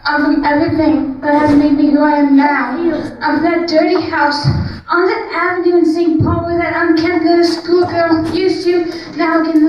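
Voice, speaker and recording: Teen girl poet, high and flat reading, dull distant recording in an echoing room. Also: slight background noise. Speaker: student poet. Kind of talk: spoken word poetry reading. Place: school classroom. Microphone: handheld mic filmed from far back.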